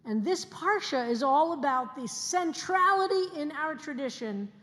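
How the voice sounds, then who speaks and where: A woman in her sixties, a rabbi, giving an earnest sermon to her congregation and slowing down to stress key phrases. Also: a little high. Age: 60s. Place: synagogue sanctuary, livestreamed service. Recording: long hall reverb.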